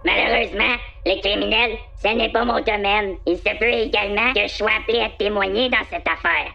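Woman acting a creaky, bright-voiced notary. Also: mid-range voice. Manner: calm, professional. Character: calm, businesslike female notary on telephone. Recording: dull, band-limited sound.

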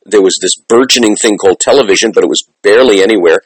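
A man's voice: lowish voice, racing, breathy edge, dry recording, poor audio. A middle-aged or older man hosting an interview, speaking emphatically and conversationally like a teacher, repeating phrases for weight. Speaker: interviewer, communications and theatre teacher.

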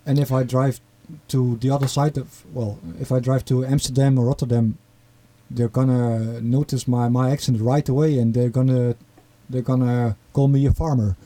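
A man's voice: mid-range voice, flat delivery, dark tone. A man from the east of the Netherlands with a Dutch accent, talking hesitantly as a guest in casual conversation. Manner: hesitant, halting with many fillers.

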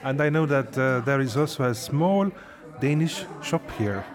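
A man who hosts and narrates, asking questions in a measured, gentle way. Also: mid-range voice, over music. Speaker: podcast host and interviewer.

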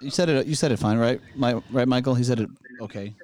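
A man's voice: mid-range voice, fast, darkish tone. A man hosting a casual panel chat, blunt and prone to swearing. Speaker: show host.

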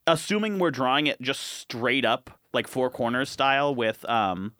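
A man co-hosting a podcast in a relaxed, joking way, cursing casually and laughing along. His voice sits in a middle register, recorded close and dry. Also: mid-range voice, unhurried, dry recording. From Montana, US. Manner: laid-back, swears freely, laughs often. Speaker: podcast co-host.

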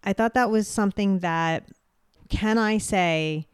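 A woman hosting a solo podcast, speaking directly and clearly with a booming voice. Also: measured pace, dry recording, clean studio sound. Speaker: podcast host.

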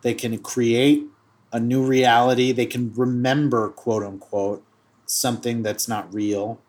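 A man in his fifties co-hosting a podcast, talking casually in a halting way that often repeats words. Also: mid-range voice, level tone, a little mellow. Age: 50s. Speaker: podcast co-host. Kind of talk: casual chat.

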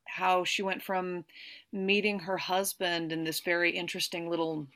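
A woman's voice: lowish voice, flat delivery, moderate pace, a bit bright. A woman, an actress, chatting enthusiastically about her work in an interview. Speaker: actress guest. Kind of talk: casual interview answer.

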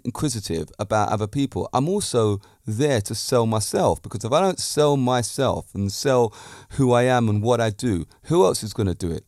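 A man's voice: medium pitch, darkish tone, no room sound, clean studio sound. Assured, emphatic man. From Britain. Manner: self-assured, emphatic, with rhetorical questions. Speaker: podcast host. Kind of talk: motivational monologue.

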